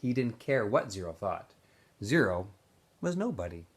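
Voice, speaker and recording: A man reads a novel aloud to students with a very animated pitch range. His delivery is informal storytelling, with occasional stumbles that he corrects as he goes. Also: moderate pace. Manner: informal, stumbles and corrects himself while reading. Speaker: teacher reading aloud to students.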